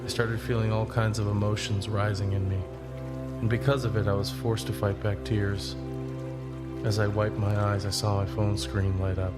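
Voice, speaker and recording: An actor playing a young long-distance traveler, narrating in the first person in an understated, introspective way for an audio drama. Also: male voice, fairly low pitch, level tone, moderate pace, natural voice. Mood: reflective. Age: young adult. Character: reflective young long-distance walker telling his journey. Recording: prominent music bed under the voice.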